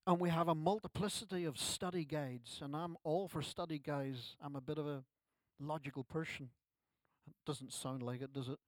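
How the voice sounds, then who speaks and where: Older pastor preaching. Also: male voice, on the high side, steady tone, moderate pace, no room sound. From Ireland. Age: 60s. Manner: conversational preaching with self-deprecating asides. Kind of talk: sermon. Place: church service.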